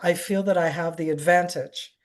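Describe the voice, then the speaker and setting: A woman's voice: lowish voice, fairly even intonation, normal speed. A middle-aged American woman, a security analyst, answering a question in an online briefing with earnest emphasis and frequent restarts. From United States.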